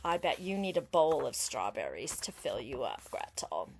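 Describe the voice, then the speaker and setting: An older woman reading a picture book aloud to a child like a grandmother, unhurried and expressive, pausing to explain words and ask questions. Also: a bass voice, a little hiss or hum. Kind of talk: storybook read-aloud with chatty asides to a young listener.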